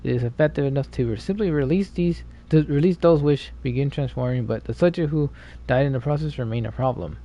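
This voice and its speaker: Low-key male streamer with a gravelly voice. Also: brisk, few pauses, dark tone. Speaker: livestreamer playing a video game. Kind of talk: casual gameplay commentary, reading game text aloud. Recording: dull, slightly noisy stream audio.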